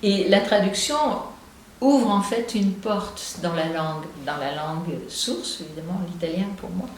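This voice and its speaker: A woman's voice: lowish voice, a little slow. A poet and translator from Marseille, a woman with a Marseille accent, who talks through her thoughts with frequent hesitations and restarts. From Marseille, southern France. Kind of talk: reflective interview talk. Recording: very echoey room with faint noise.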